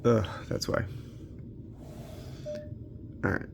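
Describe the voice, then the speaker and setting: A man's voice: extremely slow, background noise. A man giving an unscripted, casual tech walkthrough, thinking aloud informally as he tests games on a handheld. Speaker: tech reviewer hosting a handheld gaming channel.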